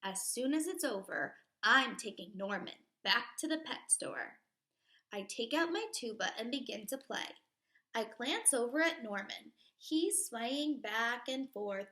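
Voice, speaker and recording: A woman teacher reading a children's picture book aloud expressively and deliberately, pausing to explain the pictures. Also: on the high side, lively intonation, bright, forceful tone.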